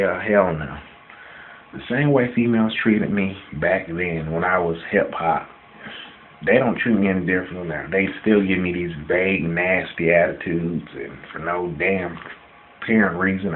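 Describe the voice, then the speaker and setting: A man of twenty-nine in a casual personal video rant, speaking bluntly and defiantly and repeating himself for emphasis. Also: deep voice. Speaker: vlogger answering questions about himself. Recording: dull lo-fi sound with background noise.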